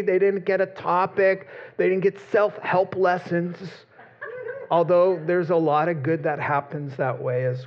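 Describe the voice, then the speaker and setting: Joking pastor preaching on a dull recording. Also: male voice, fairly high pitch. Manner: conversational, joking, acts out quoted voices. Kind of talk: sermon.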